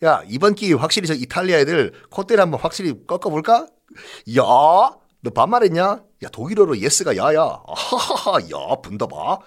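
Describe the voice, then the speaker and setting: Man acting Germanic tribesman, cocky, swaggering, comic, lively pitch, history storytelling. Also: moderate pace. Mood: smug mockery.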